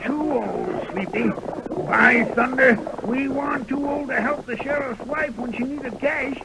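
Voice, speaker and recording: An actor playing a feisty old prospector in a radio western, with a thin creaky voice and folksy American frontier speech. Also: male voice, very high-pitched, measured pace, heavily acted. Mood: stubborn, grumbling. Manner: folksy frontier dialect, cantankerous. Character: Missouri, feisty poor old prospector in the Old West. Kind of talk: 1930s-40s radio western acting. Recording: noisy vintage radio recording.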